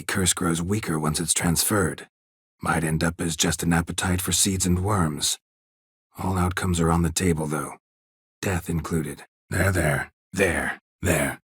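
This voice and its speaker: Casting: stoic male monster hunter for fantasy game; bottom-of-range gravelly husk, gruff, terse, deadpan. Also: no echo, clean studio sound, heavily acted. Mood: calm, grave. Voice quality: gravelly, husky low voice. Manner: deadpan, clipped, laconic. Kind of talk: fantasy video game voice acting.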